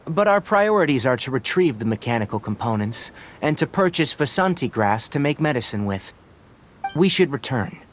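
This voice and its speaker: An actor playing a cautious young forest scholar in a video game, speaking in a precise, analytical, instructive way over music. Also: male voice, mid-range voice, sing-song, normal speed, slight background noise, lightly acted. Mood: matter-of-fact.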